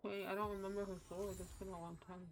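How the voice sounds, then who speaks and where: A woman's voice: mid-range voice, flat delivery, fast, background noise. A woman streaming a game, thinking aloud in casual playthrough commentary. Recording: game music behind the voice. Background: loud game music.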